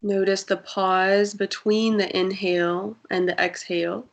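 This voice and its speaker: Slow, soothing woman guiding meditation. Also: mid-range voice, flat delivery, gravelly. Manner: slow, soft and soothing, with long pauses. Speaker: meditation guide. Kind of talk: guided breathing meditation.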